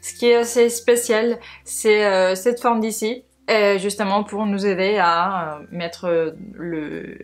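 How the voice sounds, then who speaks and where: Spanish lady with an accent doing a casual beauty haul chat, native spanish speaker, medium pitch, feels around for words a bit. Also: steady tone, slow, few pauses. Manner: searches for words in a second language. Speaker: beauty vlogger.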